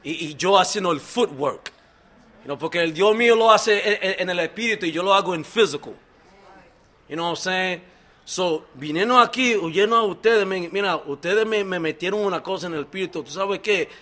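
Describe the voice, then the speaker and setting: A middle-aged Dominican-American man with a fairly high voice speaks in an earnest, halting way. He restarts words often and drops English fillers between his Spanish phrases. This is unscripted personal testimony at a recovery meeting. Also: a bit bright. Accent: Dominican-American, mixing English into Spanish. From New York, Dominican family.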